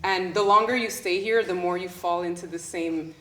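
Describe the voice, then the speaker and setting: A woman's voice: on the low side, normal speed. A woman from Haiti and Miami, telling anecdotes animatedly as a guest in a casual chat. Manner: animated storytelling. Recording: echoey room, faint noise.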